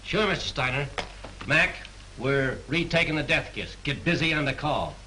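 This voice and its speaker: An eager set assistant answers quickly and obligingly. His voice is extremely bright and sharp, with a faint hiss under it on this old soundtrack. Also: on the high side, expressive. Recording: scratchy 1930s film soundtrack.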